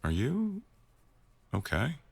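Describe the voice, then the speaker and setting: A man acting an attentive, tender boss in an intimate boyfriend ASMR roleplay, murmuring slowly to an employee in a gravelly voice. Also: wildly swooping pitch, no echo, heavily acted. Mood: reassuring, adoring. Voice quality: very deep, gravelly.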